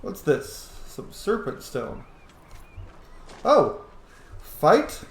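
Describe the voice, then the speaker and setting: Male let's play host. Playful, sweary, very animated, roomy sound with slight noise. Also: on the high side, drawn-out words. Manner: excitable, playful. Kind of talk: casual, sweary gameplay commentary. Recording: roomy echo, faint background noise.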